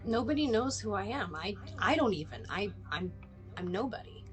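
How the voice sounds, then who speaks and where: A woman's voice: mid-range voice, animated, normal speed, soft background music, lightly acted. A woman playing a shaken but defiant survivor, speaking earnestly in a film script read-through. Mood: fragile, lost. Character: shaken woman survivor, self-doubting yet angry and defiant.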